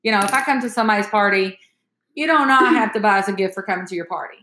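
Chatty woman with a bright voice. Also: mid-range voice, very fast, reverberant, slight background noise. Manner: chatty, addresses listeners as y'all, animated. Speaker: podcast host. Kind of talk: casual storytelling chat.

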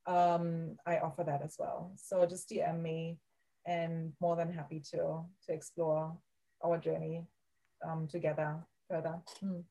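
Soft-spoken woman with a German accent. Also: lowish voice, low-quality recording. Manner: soft, halting, searching for words. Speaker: guest, women's coach. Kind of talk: podcast interview.

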